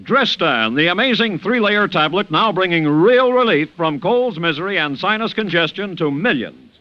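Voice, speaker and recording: A man's voice: high-pitched, animated, moderate pace. A man reading a 1950s radio advertisement as an announcer, with an emphatic, polished sales delivery. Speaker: commercial announcer. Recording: dull vintage radio recording.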